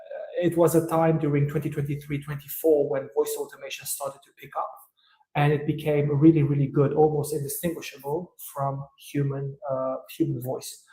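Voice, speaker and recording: A man from Romania answers interview questions in a slightly high voice, hesitating and filling gaps with "uh." The sound is roomy, with a noticeable echo around him. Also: flat delivery, dark tone. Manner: thoughtful, hesitant, many "uh" pauses. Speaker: guest, tech entrepreneur.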